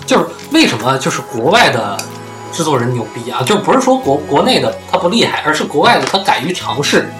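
A man's voice: a little high, sing-song, brisk. A man co-hosting a casual music chat, jumping in and repeating phrases for emphasis. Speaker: music podcast co-host.

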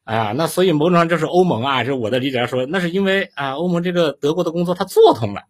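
Male panel commentator. Somewhat raspy, higher-set voice, thinking aloud in hesitant explanatory talk. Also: fast. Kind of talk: conversational analysis.

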